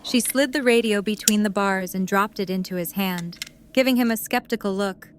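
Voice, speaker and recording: A woman reads scripted story narration in a medium-pitched voice. She works as the narrator of an audio drama, with faint noise in the background. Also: normal speed.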